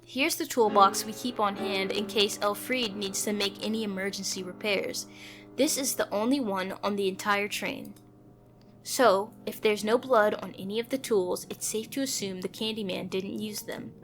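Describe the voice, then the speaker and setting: A woman's voice: mid-range voice, normal speed, fairly bright tone. A woman reading a visual novel aloud, moving between narration and character dialogue, with music playing behind her. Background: background music.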